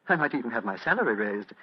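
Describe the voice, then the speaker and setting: Smug, murderous English butler, old radio mystery: formal courtesy over menace, swooping delivery, muffled recording. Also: male voice, medium pitch, very animated, a little fast, breathy edge. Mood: gloating. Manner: formal, elaborately polite menace. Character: coldly polite, smug English butler turned murderous thief. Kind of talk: 1940s radio mystery acting.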